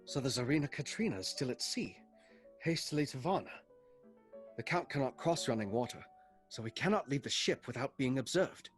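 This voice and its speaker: An actor in a radio play voicing a sober, anxious Englishman who reads out his journal in a measured, grave way. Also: medium pitch, lively intonation, faint music behind, lightly acted. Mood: tense.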